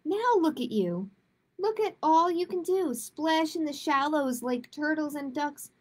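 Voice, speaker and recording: A woman hosting a children's storytime, reading picture books aloud and chatting to families in an expressive way. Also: high-pitched, a little slow. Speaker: children's librarian, storytime host. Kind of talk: picture book read-aloud and chatty announcements to young families.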